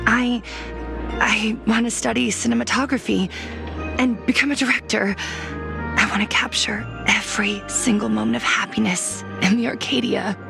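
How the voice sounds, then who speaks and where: A woman's voice: on the high side, fairly even intonation, moderate pace, gravelly, music in the background. A woman voicing an earnest, friendly young student in a video game.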